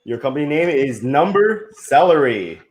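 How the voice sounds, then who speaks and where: An American man hosting a party pitch game on a video call, teasing and mock-stern with his questions. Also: very animated, moderate pace, a little mellow. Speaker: game host.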